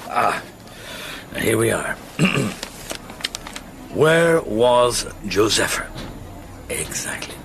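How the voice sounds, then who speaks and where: A man's voice: medium pitch, big pitch swings, very slow, gravelly, long pauses, background noise, full character voice. A man playing a patient middle-aged police inspector in a radio drama, speaking in a hesitant, wry murmur. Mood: wry, mild. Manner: hesitant, murmuring, stammers mildly. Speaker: actor in the lead role. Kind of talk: naturalistic radio drama acting.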